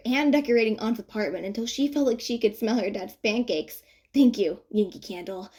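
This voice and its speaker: A woman reading fan fiction as an audiobook narrator, expressive and conversational with playful emphasis. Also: on the high side, slightly rough. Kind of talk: expressive scripted story narration.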